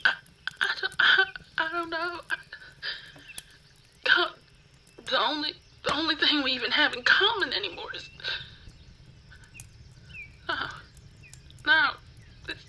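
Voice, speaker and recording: A woman playing a frightened but defiant captive rival in an ASMR roleplay, halting and stammering as she pleads. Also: sits high, expressive, bright, forceful tone, slight background noise, pitched above the performer's own voice, full character voice. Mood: pleading, defensive.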